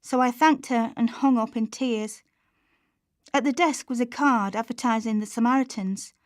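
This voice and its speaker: Woman acting worried struggling mother, higher-pitched, confiding, colloquial, radio drama monologue, dry sound. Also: normal speed, no room sound, lightly acted. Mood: anxious, despairing. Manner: confiding, plain-spoken, colloquial. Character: Chantelle, anxious struggling working-class mother on benefits, confiding. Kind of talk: confessional radio play monologue.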